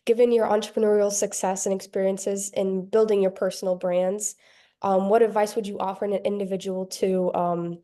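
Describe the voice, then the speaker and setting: Teen woman audience member, tentative, flat, soft-toned question. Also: mid-range voice, normal speed. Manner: hesitant, many "um"s and "like"s.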